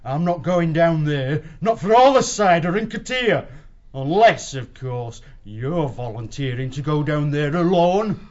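An actor playing a bullying weasel captain in an audiobook, sly and falsely respectful with menace underneath. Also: male voice, on the high side, expressive, unhurried, dull audio. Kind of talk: full-cast audiobook acting.

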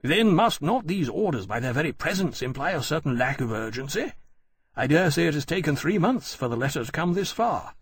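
A man voicing an anxious, polite gentleman in an audiobook, arguing cautiously in careful formal phrases. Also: a little high, very animated, brisk, breathy edge, no echo, voice pushed up, heavily acted. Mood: anxious reasoning.

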